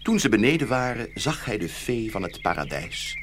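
Very animated male fairy-tale narrator. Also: fairly low pitch, normal speed, background noise. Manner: expressive storytelling.

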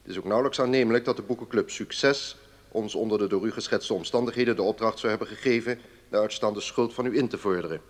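An actor in a radio play playing a stern, pompous debt-collection lawyer, reading a formal letter aloud. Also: male voice, on the low side, quick pace, lightly acted.

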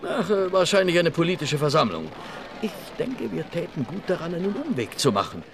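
An actor in a German audio drama playing a scheming, officious detective, formal, insistent and ingratiating. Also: male voice, fairly high pitch, sing-song, a little fast, a little hiss or hum.

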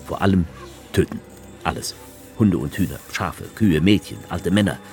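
A grim, very deep-voiced writer. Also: male voice, normal speed, a little mellow. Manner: stark, incantatory recitation. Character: grim male writer recounting a staged war. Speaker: author character narrating. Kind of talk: radio drama narration. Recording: music under the voice.

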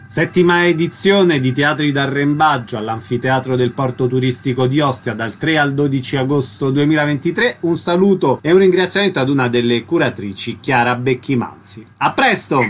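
A man's voice: medium pitch, normal speed, few pauses. A man hosting a radio interview, giving questions and introductions with announcer-like phrasing.

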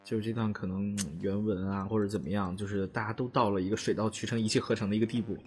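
A man from an audio drama cast chatting casually, haltingly circling back over his phrases. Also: fairly low pitch, flat delivery, a little mellow, faint music behind. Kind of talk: casual group chat about an audio drama.

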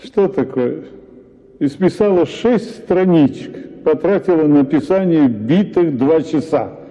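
An actor reading a story for radio as a husband, lecturing in an exasperated tone. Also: male voice, fairly high pitch, animated, takes it slowly, warm tone. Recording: tinny radio broadcast with hall reverb.

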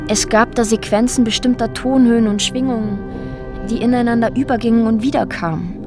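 An actress playing a woman who recounts a strange, disturbing ordeal in a reflective, halting, matter-of-fact radio drama monologue. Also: fairly high pitch, close to an everyday voice. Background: music under the voice.

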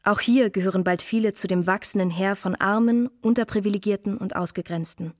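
A woman's voice: mid-range voice, steady tone, fairly quick, no echo. Woman narrator, very dry sound. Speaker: speaker in a radio play. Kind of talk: scripted declarative narration.

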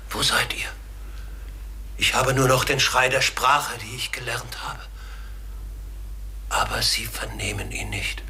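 Forlorn raven, radio drama, sharp-edged bright voice, slow halting pace, grave, lamenting, breathy. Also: male voice, mid-range voice, a little hiss or hum. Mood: desolate. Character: forlorn talking male raven, outcast and afraid. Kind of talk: German radio play acting.

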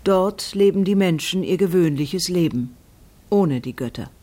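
An actor playing a grave teacher of ancient ritual lore in a radio drama, speaking in a measured way. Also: medium pitch, animated, dark tone.

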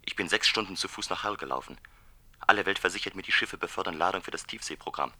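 Undercover traveller, low voice, very sharp edge, clipped, factual, radio drama. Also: male voice, fast talker, exaggerated voice. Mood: terse. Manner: clipped, matter-of-fact. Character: daring undercover traveller phoning in terse secret reports. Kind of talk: terse report in a radio play.

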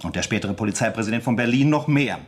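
An actor in a German radio play voicing a pedantic, persistent French military captain, formal and insistent, with awkward hesitations. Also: male voice, lowish voice, quick pace, natural voice. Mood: probing.

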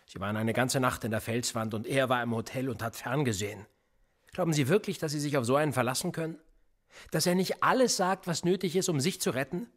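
Radio drama: sardonic middle-aged police interrogator, husky, highly animated delivery, pressing and needling. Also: male voice. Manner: pressing, needling, rhetorical questioning. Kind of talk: German radio play acting.

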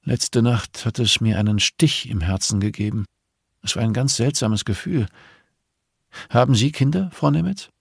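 A man narrator plays an older nun in an audiobook crime story. The voice is slightly husky and close in a dry space, measured and solemn. She is a grieving mother who accuses quietly and speaks in riddles. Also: mid-range voice, no echo, voice pushed up. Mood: wistful, sleepless.

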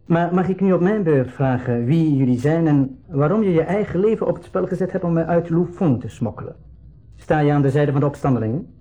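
An actor playing a proud, wary rebel leader who speaks formally, with a Flemish accent, in a 1980s school radio play. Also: male voice, a little high, fairly quick, dark tone, natural voice. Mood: guarded.

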